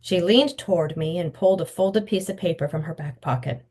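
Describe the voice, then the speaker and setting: A woman's voice: lowish voice, brisk. A woman author reading her children's novel aloud, telling the story expressively. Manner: expressive storytelling, acting out the dialogue.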